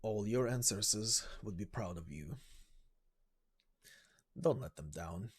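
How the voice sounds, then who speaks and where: A man voicing a gentle, proud middle-aged father in a game story reading, speaking softly, slowly and encouragingly. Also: fairly low pitch, animated, husky, voice pushed down, exaggerated voice. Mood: proud, earnest.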